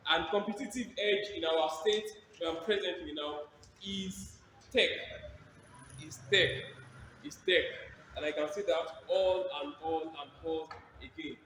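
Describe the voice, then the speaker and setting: A man's voice: sits high, a bit bright, a little hiss or hum. A man giving a lecture to students, asking them questions and repeating his key points. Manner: rhetorical, repeats key phrases, asks the audience questions. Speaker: guest speaker. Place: large lecture theatre. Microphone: hand mic over a PA system. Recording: echoey hall, PA sound from far off.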